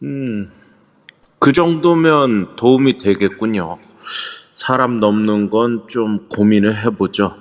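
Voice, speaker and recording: Calm exorcist voiced by a man, measured formal delivery, unhurried, reverb-heavy audio. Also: fairly low pitch. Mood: composed. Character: calm middle-aged male exorcist in hanbok. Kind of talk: amateur live audio drama.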